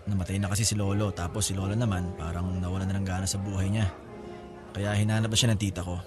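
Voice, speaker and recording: A man acts a breezy older brother in a radio drama. His voice is on the low side, casual and frank, with a teasing, talkative manner over clearly audible music. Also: little pitch movement, fast talker, close to an everyday voice. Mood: reflective, frank. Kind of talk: Filipino radio-style drama dialogue.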